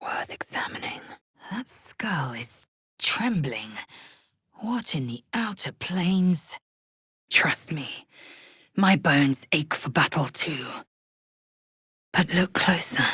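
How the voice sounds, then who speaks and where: Proud fierce alien soldier lady for a video game, raspy, curt and haughty, super dry close sound. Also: low voice, expressive, slow, pauses often, fairly bright tone, no echo, heavily acted. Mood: measured, wry. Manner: haughty, curt, imperious, clipped formal diction. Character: fierce, proud alien warrior woman, militant and scornful.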